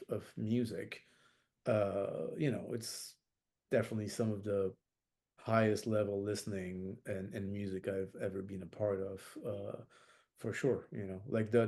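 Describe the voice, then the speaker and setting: A middle-aged man from France speaks as a guest in an interview, in a low, warm voice. He thinks aloud, circling back with fillers and restarts. His manner is reflective and unhurried. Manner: thoughtful, many fillers and restarts. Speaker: jazz saxophonist guest.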